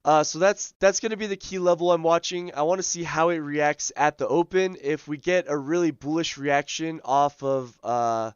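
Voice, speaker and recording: A man hosting a live day-trading stream, his voice scratchy as he loses it, talking through charts in a relaxed way full of ums and uhs. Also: a little high, normal speed, few pauses, clean tone, no echo, dull audio. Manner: relaxed, filler-heavy, thinking aloud. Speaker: day trading livestream host. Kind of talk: live market commentary.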